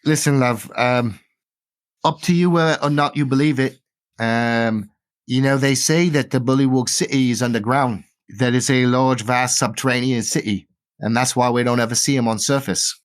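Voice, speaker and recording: A man's voice: mid-range voice, fairly even intonation, normal speed, close to an everyday voice. A man voicing a chatty female theatre manager with a breezy, folksy, hesitant way of explaining.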